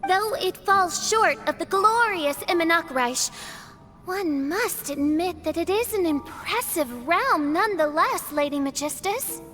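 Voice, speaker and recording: A woman's voice: extremely high pitch, expressive, a little slow, a bit bright, faint music behind, full character voice. A woman voicing a theatrical teenage self-styled princess in a video game, childlike, switching between eager chatter and pompous archaic speech. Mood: grandiose.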